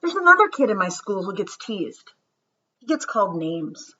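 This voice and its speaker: A woman storyteller reads a children's book aloud in a bright, husky voice that moves through wide swings of pitch. Her phrasing is deliberate and dramatic, dropping soft at times. The recording sounds slightly muffled. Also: sing-song. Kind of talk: expressive read-aloud narration.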